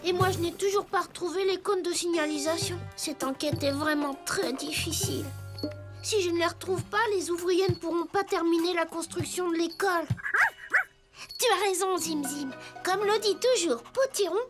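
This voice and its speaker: A woman's voice: very high-pitched, moderate pace, music in the background. A woman playing an earnest, cheerful little wooden boy detective in a children's cartoon. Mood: determined. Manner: earnest, eager. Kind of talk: animated children's cartoon dialogue.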